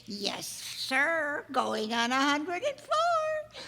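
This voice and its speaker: A gleeful ancient witch storyteller, high and wildly swooping in pitch. She spins her yarns in a folksy, cackling, old-time radio horror manner. The sound rings in an echoey hall. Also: wildly swooping pitch, very slow, sharp tone, heavily acted. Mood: folksy, sly. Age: over 100 as played. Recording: echoey hall stage performance.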